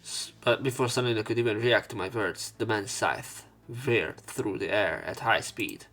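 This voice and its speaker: A man's voice: on the low side, normal speed, a bit bright. A man reading a visual novel aloud as a let's play narrator, dramatic in the story parts and casual in his commentary. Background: game music.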